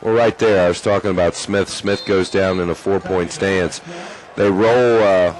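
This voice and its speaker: A man doing color commentary on a high school football game, chatty with lots of fillers, his voice worn from shouting. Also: steady tone, normal speed, slight background noise, low-quality recording. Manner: chatty, filler-heavy explaining. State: losing his voice. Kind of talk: analytical sports color commentary.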